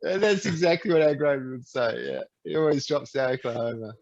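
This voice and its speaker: A man co-hosting a podcast, chatting in a relaxed, rambling way. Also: on the high side, a little fast, gravelly, highs cut off. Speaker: podcast co-host. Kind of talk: casual podcast chat.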